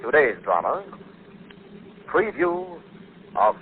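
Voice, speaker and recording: A man's voice: a little high, very animated, slow. A man giving dramatic scripted narration in a 1940s radio drama style. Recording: scratchy, tinny 1940s radio recording.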